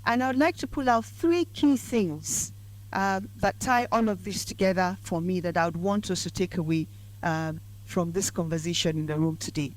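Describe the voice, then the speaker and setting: A woman from Nigeria with a croaky, hoarse voice, giving a formal lecture speech in a measured, emphatic way. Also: on the low side, low-quality recording. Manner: measured and emphatic, building to points. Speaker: guest speaker at a public lecture. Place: lecture event.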